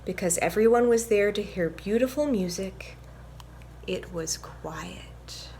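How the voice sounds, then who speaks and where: A woman reading aloud and teaching music to young children in a gentle, encouraging, instructive manner. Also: medium pitch, takes it slowly, gravelly, slight background noise. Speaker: violinist presenting a children's story time. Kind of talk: picture book read-aloud and music lesson for children.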